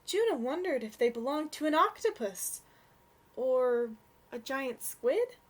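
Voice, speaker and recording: Young woman, children's story reader: high, lively intonation, gentle, takes her time. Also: measured pace. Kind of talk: read-aloud storybook narration for children.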